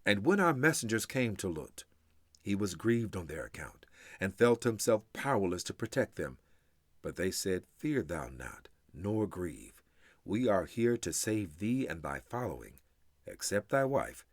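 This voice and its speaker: A man with a low voice gives a solemn, spirited reading of scripture. His pitch rises and falls widely as he declaims each measured phrase, pausing deliberately between them. The recording is dry and close. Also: big pitch swings, no room sound. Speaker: reader of scripture.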